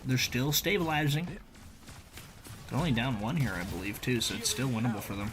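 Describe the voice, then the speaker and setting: A man narrates gameplay in a casual, slangy way, as if chatting to a friend. His voice sits at a middle pitch, with clear game noise behind it. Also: fairly quick. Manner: slangy, conversational. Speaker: gaming video creator. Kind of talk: casual gameplay voice-over commentary.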